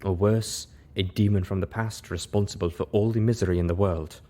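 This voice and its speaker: Stoic zebra mare , male reader acting: resigned outcast, formal intoned delivery, slightly raised pitch, storybook. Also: steady tone, brisk, mellow. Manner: intoning, plain-spoken, formal. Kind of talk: storybook character voice.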